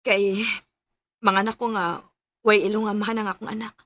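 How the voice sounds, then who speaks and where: A woman's voice: medium pitch, little pitch movement, moderate pace, fairly bright tone, no room sound, lightly acted. A woman acting a hurt, stubborn young unwed mother in a Bisaya radio drama, with emphatic delivery. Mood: subdued, sad.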